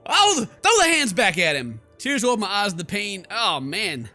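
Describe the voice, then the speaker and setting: A male gaming host with a high, bright voice reads game text and riffs on it. His delivery is theatrical and sarcastic, leaping around in pitch as he exclaims. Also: very animated, normal speed, faint music behind. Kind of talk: let's play commentary mixed with reading game text aloud.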